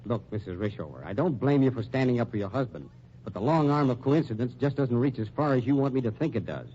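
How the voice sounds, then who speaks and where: An actor playing a sardonic, hard-boiled American police lieutenant, dry and folksy with menace, in 1940s radio style. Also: male voice, medium pitch, expressive, quick pace, mellow, lightly acted. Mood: dry, stubborn. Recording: muffled old radio recording, faint noise.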